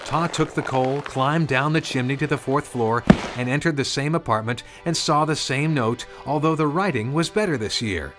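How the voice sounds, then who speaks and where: A man's voice: mid-range voice, normal speed. A man reading a scripted children's storybook narration. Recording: music behind the voice. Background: background music.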